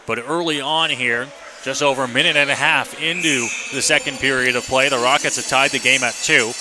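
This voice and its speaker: A man's voice: mid-range voice, fairly even intonation, brisk. A man doing live ice hockey play-by-play for radio, describing the action in clipped running phrases. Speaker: play-by-play announcer. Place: ice rink, live broadcast position. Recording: noisy live arena broadcast.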